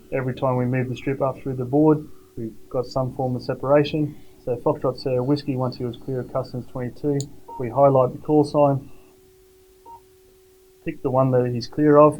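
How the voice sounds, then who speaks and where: A middle-aged man giving a matter-of-fact, informal technical demonstration, with hesitant pauses. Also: medium pitch. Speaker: air traffic tower supervisor. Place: indoors, glass-walled control tower cab. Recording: dull sound, faint room noise.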